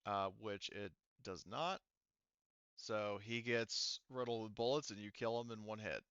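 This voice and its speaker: A man's voice: fairly low pitch, unhurried, fairly bright tone, smooth, no room sound, lo-fi sound. A man speaking as game master, narrating and explaining rules in an informal, joking way. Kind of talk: casual tabletop roleplaying game narration.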